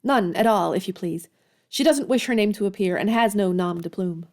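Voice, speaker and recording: A woman's voice: medium pitch, normal speed, darkish tone, close to an everyday voice. An actress playing a proud, earnest young woman writer in a period audio drama, speaking formally and politely. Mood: polite, guarded.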